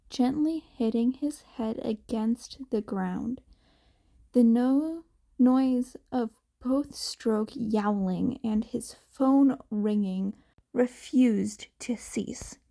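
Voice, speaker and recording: A woman's voice: fairly high pitch, creaky, dark tone. A woman reading fiction aloud as an amateur audiobook narrator.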